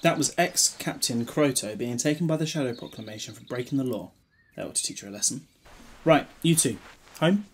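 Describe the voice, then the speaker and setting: A man's voice: mid-range voice, lively intonation, brisk, breathy edge. A British man playing a glib, protective time-travelling hero in an audio drama, wry and chatty with a scolding streak. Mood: breezy, fond. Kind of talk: fan audio drama acting.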